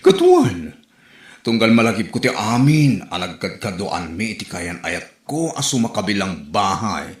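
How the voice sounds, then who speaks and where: Animated Ilocano Filipino man acting, roomy echo. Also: lowish voice, a little slow. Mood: teasing, amused. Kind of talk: Ilocano comedy audio drama acting. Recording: roomy, echoing home recording.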